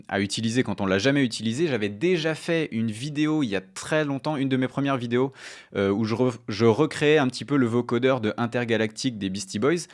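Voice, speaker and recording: A man, presenting a casual music software tutorial on a close studio microphone in a home room. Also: medium pitch. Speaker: music production tutorial host. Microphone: studio microphone close in front.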